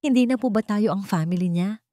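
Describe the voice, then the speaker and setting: A woman's voice: mid-range voice, fairly quick, dark tone, no echo, clean studio sound, pitched above the performer's own voice, natural voice. Woman acting a timid, hurt little girl. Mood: tearful, hurt.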